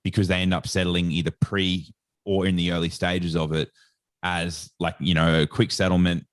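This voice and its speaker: A very deep Australian man chats as a podcast guest. His voice sits low and sounds close and dry, with no room around it. He rambles loosely through his story with plenty of casual filler. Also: level tone, moderate pace, no echo. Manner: rambling storyteller, heavy on "like" and "sort of". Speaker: guest, sports nutritionist.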